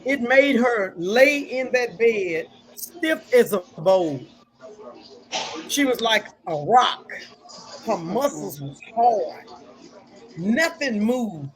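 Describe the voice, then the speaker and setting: A woman's voice: fairly high pitch, lively intonation, slow pace, long pauses, rough recording. A woman hosting a talk show, telling stories and preaching scripture in a folksy, casual way.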